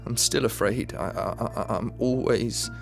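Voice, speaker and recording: An actor playing a halting, troubled young man. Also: mid-range voice, vocal fry, soft background music. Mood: despairing. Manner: halting, stammering, medicated. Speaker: psychiatric patient. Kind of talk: radio play acting.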